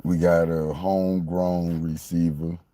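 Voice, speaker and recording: Man, late forties, from Miami, slow pace, dry sound, rambling football fan monologue. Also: low voice, level tone, dark tone, dry recording. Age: 40s. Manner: repetitive, meandering, conversational. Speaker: sports fan commentator. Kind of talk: casual sports talk monologue.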